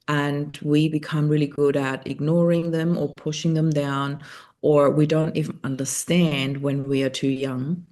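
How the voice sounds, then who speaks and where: A woman's voice: low voice, darkish tone. A woman whose first language is German, hosting a podcast interview in a thoughtful, reflective way, sometimes searching for words. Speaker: podcast host.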